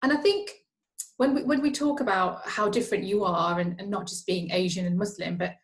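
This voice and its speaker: A woman's voice: mid-range voice, lively intonation, brisk. A woman born and raised in Norway, a finance professional chatting as an interview guest, often repeating words as she restarts her sentences. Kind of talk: casual interview conversation.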